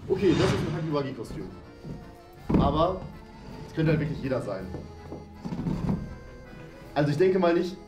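A man's voice: a little high, very animated, moderate pace, pauses often. A man in his twenties hosting a game video casually, thinking aloud as he plays. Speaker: game show host and player. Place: small decorated room indoors. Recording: roomy indoor echo, music underneath. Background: background music.

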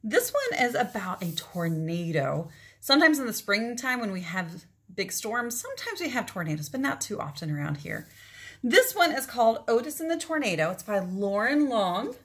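A woman's voice: fairly high pitch, sing-song, moderate pace. A woman in her forties reading a picture book aloud to children, drawing out words for effect and adding asides to her listeners. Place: indoors, small room at home.

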